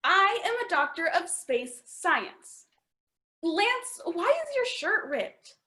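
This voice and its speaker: A woman playing a perky, excitable, naive space scientist in a campy sci-fi radio play. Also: very high-pitched, slow, full character voice. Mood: curious.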